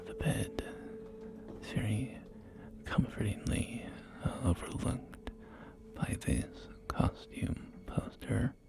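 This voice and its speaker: A man whispering an ASMR roleplay as a polite, helpful real estate agent, in gentle, unhurried sales patter, with music behind him. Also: on the low side, creaky. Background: loud music and game audio.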